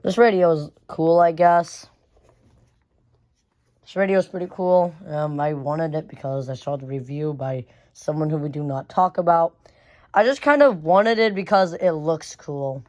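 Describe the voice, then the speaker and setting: A woman's voice: low-pitched, unhurried, a little mellow. A woman giving a casual, unscripted show-and-tell of a collection, rambling and often repeating phrases. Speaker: radio collector showing a collection.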